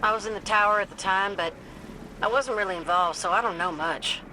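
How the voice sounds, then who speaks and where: A woman's voice: medium pitch, a little fast, bright, forceful tone, slight background noise, close to an everyday voice, rough recording. A woman playing a breezy, confident, friendly pilot in a video game, casual and joking. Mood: breezy, teasing. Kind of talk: video game radio chatter.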